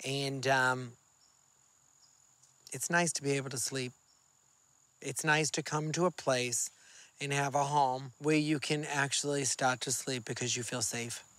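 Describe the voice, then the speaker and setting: A man's voice: medium pitch, fairly even intonation, unhurried, pauses often, fairly bright tone, dry recording. A man in his fifties from Massachusetts, speaking openly and reflectively in a personal documentary interview. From Massachusetts, USA. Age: 50s. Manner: open, heartfelt, reflective.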